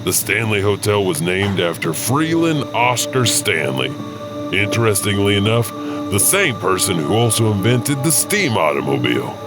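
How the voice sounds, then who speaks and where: A man's voice: fairly low pitch, big pitch swings, moderate pace, loud music behind the voice. A man playing a folksy, rambling janitor who hesitantly hosts a spooky anthology of tales. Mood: chatty, wry. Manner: chatty, digressive, hesitant. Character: rambling, folksy janitor storyteller at old hotel. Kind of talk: hosted anthology narration.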